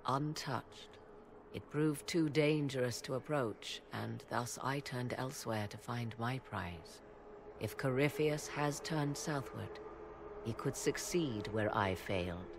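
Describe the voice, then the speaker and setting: An actress voicing a haughty, knowing fantasy game sorceress who speaks in deliberate, formal, archaic phrases. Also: female voice, rumbling low, background noise, full character voice. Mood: haughty, cryptic.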